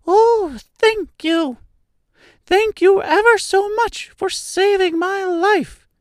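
A tiny queen of the field mice, acted by a man, squeaks in an extremely high voice. She is proud and imperious, drawing out her words slowly in theatrical storybook fashion. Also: no echo, clean studio sound. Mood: grateful. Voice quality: squeaky, little voice. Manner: imperious, emphatic. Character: tiny proud female field mouse queen, regal and grateful. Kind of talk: theatrical storybook character reading.

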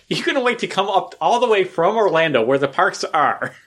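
Podcast host, male, lively and bright, laughs easily mid-story. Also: high-pitched, moderate pace. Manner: animated storyteller who laughs often. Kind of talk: casual podcast chat.

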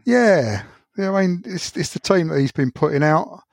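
A man talks through a match in an easygoing, rambling way. His voice has a gravelly, creaky edge, and the sound is dry and close. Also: a little high, animated, moderate pace, dry recording. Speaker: podcast co-host. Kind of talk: casual football chat.

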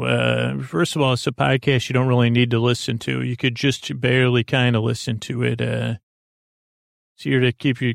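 A man hosting a sleep podcast in a creaky, soothing voice, rambling gently and haltingly with lots of filler words and tangents. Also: medium pitch, dry recording, studio quality. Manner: lulling, gentle, reassuring. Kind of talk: rambling soothing bedtime monologue.

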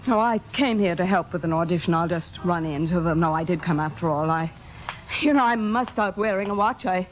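An actress playing a proud, wounded veteran stage star in a 1950s radio drama, theatrical and biting with mock-sweet sarcasm. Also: female voice, on the low side, brisk, close to an everyday voice, poor audio.

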